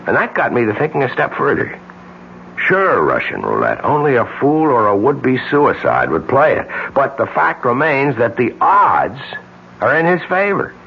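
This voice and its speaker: An American actor playing a tense, determined man in a radio mystery drama, speaking deliberately with emphatic repetitions. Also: mid-range voice, huge pitch swings, vocal fry. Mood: earnest, explaining. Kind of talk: old-time radio mystery drama acting. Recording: muffled, noisy vintage radio drama recording.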